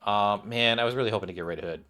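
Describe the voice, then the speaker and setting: Man, lowish voice, casual gameplay chatter, rambling, thinking out loud, soft game music behind. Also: quick pace. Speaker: gaming video creator. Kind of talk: casual off-the-cuff gameplay commentary.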